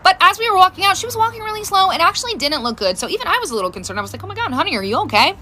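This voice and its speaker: A middle-aged woman telling a casual personal story in an animated way, acting out the dialogue as she goes. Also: very high voice, very fast, a little hiss or hum. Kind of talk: casual social-media storytime.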